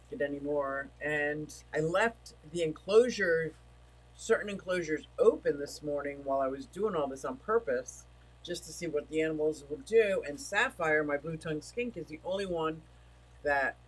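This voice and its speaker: A man hosts a relaxed livestream from home, rambling between topics and reading out messages from his chat. His voice sits a little high for a man and he feels it starting to give out. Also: unhurried, rough recording. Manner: rambling, chatty, reads chat messages aloud. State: losing his voice. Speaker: livestream host. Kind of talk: casual livestream chat reading viewer comments.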